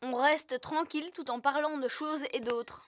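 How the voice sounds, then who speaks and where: A woman's voice: high-pitched, fairly even intonation, normal speed, a bit bright, dry recording. A woman voicing a snooty young boy squirrel in a French cartoon dub, putting on grown-up airs. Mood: haughty.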